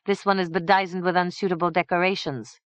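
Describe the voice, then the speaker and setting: A woman voicing a fussy, fastidious old knight in a storybook reading, slow and particular. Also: on the low side, little pitch movement, no room sound, natural voice. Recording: dull dry lo-fi recording.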